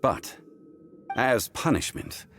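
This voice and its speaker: An actor playing a calm, stern, scholarly god in a gentleman's guise, speaking formally and with measured gravity in a video game. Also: mid-range voice, a little hiss or hum. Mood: grave, solemn. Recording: music under the dialogue.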